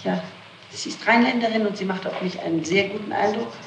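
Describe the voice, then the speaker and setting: A woman answering questions in an interrogation, explaining herself in halting detail, on an old 1960s tape. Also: mid-range voice, roomy sound, a little hiss or hum. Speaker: person being questioned in a criminal case.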